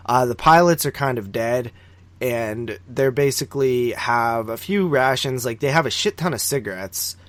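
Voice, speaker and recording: A man's voice: medium pitch, moderate pace, no echo. A man co-hosting a podcast, casually recapping a film in a rambling, informal way with some swearing. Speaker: podcast co-host.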